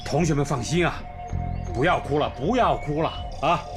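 A man's voice: fairly high pitch, normal speed, natural voice. A male actor playing a kindly, reassuring village primary school principal in a radio drama. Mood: soothing. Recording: music behind the voice.

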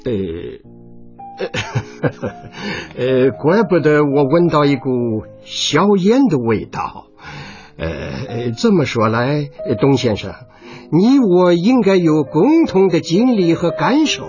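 An actor playing a polite American war veteran in a radio drama, courteous and full of hesitations. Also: male voice, on the high side, very animated, takes it slowly, a little mellow. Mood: hesitant, amiable. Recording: dull lo-fi, music underneath.

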